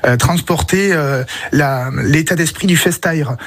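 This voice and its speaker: Male rapper from southern France, interview guest, slightly high voice, conversational, hesitant phrasing. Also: measured pace, a bit bright. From countryside around Narbonne, southern France. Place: radio studio. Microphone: studio microphone.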